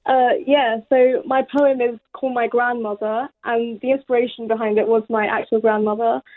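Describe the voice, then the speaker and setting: A seventeen-year-old British young woman talks as a radio interview guest. Her voice is fairly high and comes through a narrow, phone-like line, in a conversational style. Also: level tone, moderate pace, dry recording. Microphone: remote call to radio studio.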